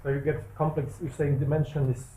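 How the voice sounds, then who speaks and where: A man's voice: on the high side, little pitch movement, a little fast, dark tone, roomy sound, a little hiss or hum, poor audio. A man in a seminar audience, politely asking puzzled questions. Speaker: audience member. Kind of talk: discussion question. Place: seminar room.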